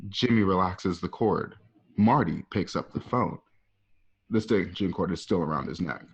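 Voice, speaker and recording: A man's voice: low voice, lively intonation, moderate pace. A man narrating an amateur radio drama, reading out the scene directions as the narrator. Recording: dull lo-fi home recording.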